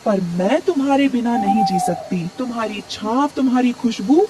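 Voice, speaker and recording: A woman's voice: on the high side, normal speed, recorded from a distance, close to an everyday voice, rough recording. A woman voicing a small, tender-hearted mother bird in a cartoon, anxious and pleading. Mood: distressed. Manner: pleading, distressed. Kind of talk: cartoon voice acting.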